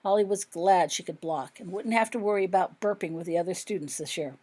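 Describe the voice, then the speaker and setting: A woman narrates a story in audiobook style, reading from a script of her own fiction. Her voice sits a little low. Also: fairly quick, warm tone.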